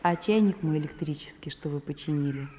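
A woman's voice: low-pitched, brisk, dark tone, close to an everyday voice. An actress playing a wistful, candid woman reading her letter in a radio play, intimate and reflective. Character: wistful, candid actress writing to a man she loves. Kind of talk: Russian radio play monologue. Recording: long reverb, faint background noise.

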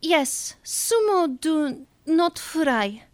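Very high-pitched, earnest warrior girl. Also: very animated, very slow, no room sound, exaggerated voice. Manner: broken English, earnest, literal. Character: earnest young Japanese female warrior, literal-minded. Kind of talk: audio drama acting.

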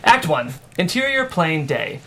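A man's voice: on the high side, sing-song, a little slow, bright, forceful tone, slight background noise. A man hosting a table read and narrating the script's stage directions.

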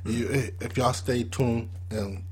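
A man co-hosting a podcast with a slightly raspy voice, joking and playful in casual talk. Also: medium pitch, steady tone, takes it slowly, darkish tone. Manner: playful, joking, laughs often. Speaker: podcast co-host. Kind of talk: casual chat.

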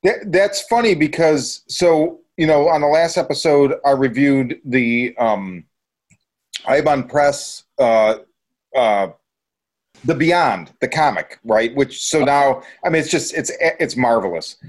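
A man's voice: medium pitch, animated, takes it slowly. A man hosting a podcast, chatting casually in a rambling, enthusiastic way. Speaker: podcast host. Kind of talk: casual review chat.

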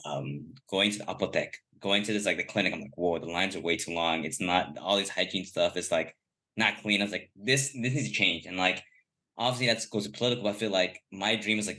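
Bright-toned low-voiced young man. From United States (Los Angeles). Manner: rambling, many fillers. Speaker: podcast guest. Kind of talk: casual chat.

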